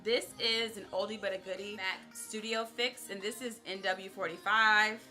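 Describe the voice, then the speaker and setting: A young woman casually chatting to camera as a beauty vlogger, upbeat and talking straight to her viewers, her voice a little raspy. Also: mid-range voice, fairly even intonation, normal speed, pushed and bright, soft background music. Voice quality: slightly raspy.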